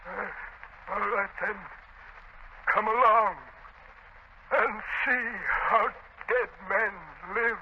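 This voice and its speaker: A broken prisoner in an extremely high, strained voice. His delivery is slow, halting and full of melodramatic despair. It comes from a scratchy old radio serial. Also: steady tone, heavily acted. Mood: bleak. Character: fearful, despairing, broken captive of a dungeon island. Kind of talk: 1930s radio drama acting. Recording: scratchy muffled 1930s radio recording.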